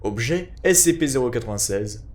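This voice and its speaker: Casting: male narrator, average pitch, formal scripted report reading. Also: animated, normal speed, noisy background.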